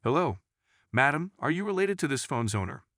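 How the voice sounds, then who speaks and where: A man's voice: mid-range voice, sing-song, fairly quick, fairly bright tone, no echo, clean studio sound, voice pushed up. A man voicing a formal, businesslike policeman in a crime story.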